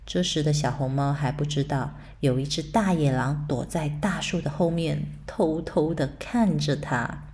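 Woman narrating a bedtime story, soothing, mellow, very unhurried. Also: low voice. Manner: soft, slow, soothing storytelling. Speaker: storyteller mother.

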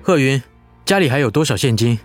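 A man's voice: medium pitch, lively intonation, a little fast, faint music behind, close to an everyday voice. A man acting a proud, short-tempered middle-aged husband in a radio drama. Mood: demanding, indignant.

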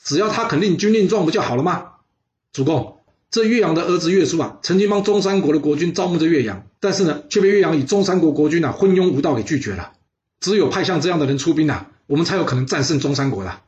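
A man's voice: a little high, animated, fast talker, a little mellow, dull audio, voice pushed up, close to an everyday voice. A man doing a confident court adviser.

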